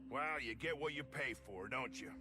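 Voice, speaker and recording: A man's voice: medium pitch, normal speed, pushed and bright. A man playing a defiant hired gun in a video game, speaking in a blunt, insolent way. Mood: defiant, resentful. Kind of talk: video game character dialogue.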